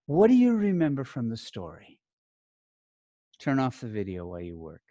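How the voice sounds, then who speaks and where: A man giving an instructional lesson as a teacher trainer, speaking deliberately in short phrases and often checking in with brief questions. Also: medium pitch, huge pitch swings, mellow, dry recording, lo-fi sound. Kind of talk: instructional talk to learner teachers.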